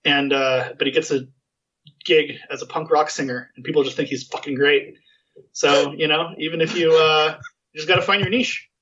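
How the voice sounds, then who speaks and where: A man's voice: a little high, steady tone, normal speed, a bit bright. A man hosting a podcast, chatting casually and rambling with lots of fillers and restarts. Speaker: podcast host. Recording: muffled lo-fi sound.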